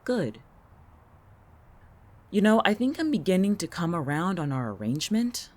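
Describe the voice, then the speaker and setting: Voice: mid-range voice, very animated, normal speed. An actor in an audio drama playing an irritable, sardonic, coolly calculating town resident with a dry, biting wit. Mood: probing, cold. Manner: biting, sarcastic, dry-witted.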